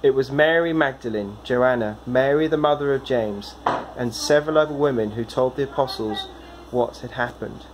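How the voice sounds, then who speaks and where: A man's voice: mid-range voice, moderate pace, slight background noise, low-quality recording. A man in his thirties reading a Bible passage aloud at home into a phone. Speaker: Bible reader. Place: room at home, indoors. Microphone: phone propped at arm's length.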